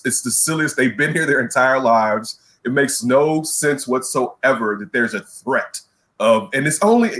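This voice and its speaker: Chicago guy cohosting sports talk, animated rant, keeps stuttering the same word when worked up. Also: mid-range voice. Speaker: sports talk show co-host. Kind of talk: casual radio show rant.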